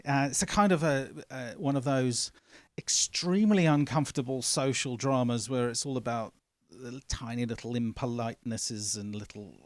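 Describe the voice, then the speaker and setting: A man co-hosting a casual live stream, joking and rambling in an animated way. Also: mid-range voice, normal speed, few pauses, dry recording. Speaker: co-host. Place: indoors, live stream studio.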